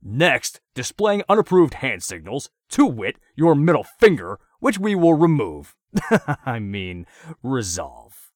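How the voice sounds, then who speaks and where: A man's voice: lowish voice, wildly swooping pitch, measured pace, no echo, studio quality, exaggerated voice. A man voicing a gleeful, sadistic male vigilante who taunts his captive and laughs often.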